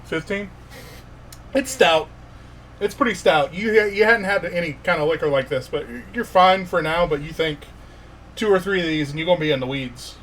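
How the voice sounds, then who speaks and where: A man working as game master, narrating a tabletop fantasy game in an informal, halting way with pauses. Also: a little high, a bit bright, a little hiss or hum.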